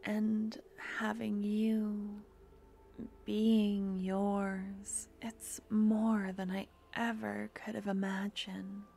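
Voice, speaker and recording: A tender, devoted woman in love , voiced by a woman, murmurs close and unhurried, slipping into breathy whispers. Her words come slowly and gently, as if to one person. A faint ambient hum sits underneath. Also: medium pitch, level tone. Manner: soft, intimate, often whispering. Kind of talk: intimate audio roleplay to the listener.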